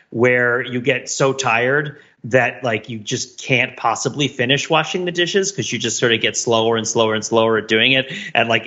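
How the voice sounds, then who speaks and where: A man's voice: medium pitch, sharp tone, dull audio. A middle-aged man co-hosting a casual podcast, explaining things at length in an analytical, rambling, mock-academic way. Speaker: podcast co-host.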